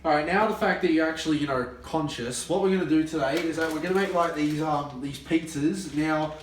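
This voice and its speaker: A brash young Australian man hosts a mock cooking show with a slightly high voice. He plays the professional and flares up at his helper. The echoey room and the mic some way off keep him distant. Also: brisk, slight background noise. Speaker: comic cooking show host.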